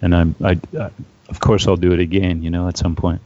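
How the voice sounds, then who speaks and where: A man with a very low, mellow voice talks through literary ideas, pausing often with fillers. The sound is dry and muffled, with a lo-fi edge. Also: flat delivery. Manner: hesitant, many fillers. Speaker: book club member. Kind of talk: casual discussion.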